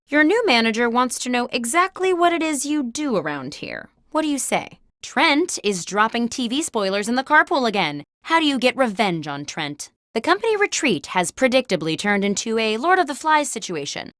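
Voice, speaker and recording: A woman playing a chipper corporate office interviewer who reads scripted party game questions in a crisp, expressive way. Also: fairly high pitch, a little fast, few pauses, no echo, clean studio sound. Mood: upbeat, quizzical. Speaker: game host.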